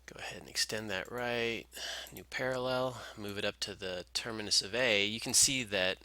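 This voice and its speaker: Male analyst, lowish pitch with a very sharp, bright edge, informal tutorial delivery, close and dry. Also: normal speed, clear, resonant tone. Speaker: market analyst presenter. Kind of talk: informal screen-share chart commentary.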